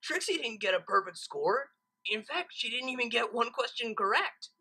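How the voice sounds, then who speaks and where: A woman's voice: on the high side, expressive, normal speed, bright, forceful tone, slightly rough, pitched above the performer's own voice, heavily acted, poor audio. A woman voicing a casual, mischievous young male dragon assistant in a story reading.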